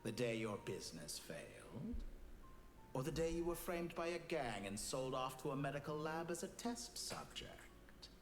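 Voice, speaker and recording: Echoey, cold scientist villain. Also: male voice, mid-range voice, sing-song, slight background noise. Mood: detached, pointed. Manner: measured, condescending, philosophical. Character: cold, arrogant male scientist villain, detached schemer. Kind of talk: scripted video game dialogue.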